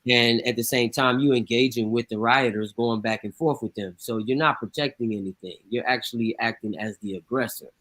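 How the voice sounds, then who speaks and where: A man's voice: medium pitch, fairly quick, hardly any pauses, fairly bright tone. Man, mid-range voice, emphatic, argumentative, talk show co-host. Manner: emphatic, argumentative, rhetorical questions. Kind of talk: casual radio talk show.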